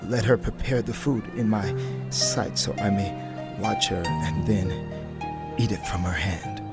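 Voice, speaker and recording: An actor playing a shrewd, crafty royal adviser, sly and coaxing, in a dramatized audio Bible. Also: male voice, fairly low pitch, a little slow, natural voice. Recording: loud music under the voice.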